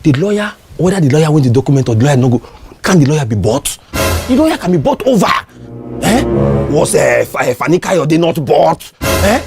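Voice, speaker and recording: A man's voice: on the high side, big pitch swings, brisk, creaky, over music. A man with a Nigerian accent playing a cowardly, wheedling neighbour in a comedy skit, stammering and repeating himself to placate. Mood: scheming.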